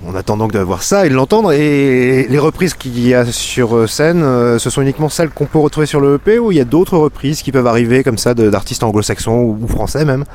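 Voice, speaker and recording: A male interviewer asks a musician about her work in an unhurried way, with the odd hesitation. His voice sits at a middle pitch for a man. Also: animated, a little mellow, a little hiss or hum. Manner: unhurried questions with some hesitation. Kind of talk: radio interview.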